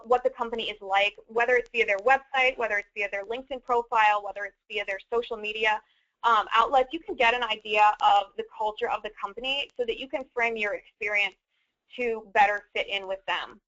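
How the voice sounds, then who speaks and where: A woman with a fairly high, bright and crisp-edged voice explains career advice methodically, in the conversational style of a webinar presenter. The recording is a little dull and band-limited. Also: fairly even intonation, brisk.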